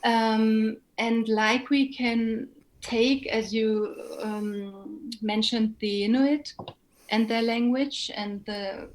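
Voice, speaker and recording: A woman with a German accent speaks as a guest in a thoughtful interview. Her voice sits slightly high and moves slowly. She is reflective, pausing to search carefully for the right words. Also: fairly even intonation, poor audio. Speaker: interview guest, singer and writer.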